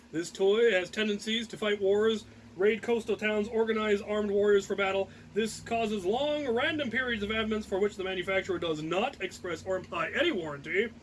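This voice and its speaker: Man putting on a high, grandiose toy-advert announcer. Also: a bit bright, slight background noise, poor audio. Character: grandiose toy-advert announcer selling a dashing pirate doll. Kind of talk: mock advertisement read-aloud.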